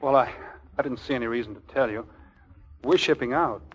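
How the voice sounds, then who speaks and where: An actor playing a bashful, flustered young army lieutenant who hesitates and stammers, in a 1940s radio comedy. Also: male voice, medium pitch, brisk, husky, muffled recording, lightly acted. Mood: sheepish. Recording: 1940s radio broadcast recording.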